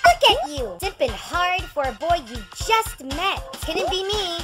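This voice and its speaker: A brash young hedgehog girl from a cartoon, talks in a piercingly high register. She is defiant, sassy and mocking. Loud music runs under the voice. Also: very animated, unhurried, full character voice. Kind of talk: fan-dub cartoon acting.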